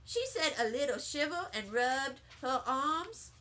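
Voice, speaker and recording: A woman reading a children's story aloud as a teacher would, in an expressive, childlike narration. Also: high-pitched, measured pace.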